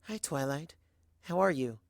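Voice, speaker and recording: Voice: mid-range voice, expressive, a little slow, vocal fry, no echo, studio quality. A reader voicing a timid, earnest young female pony who speaks in a hesitant, pleading way, in a dramatic fan fiction reading. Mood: nervous, hopeful.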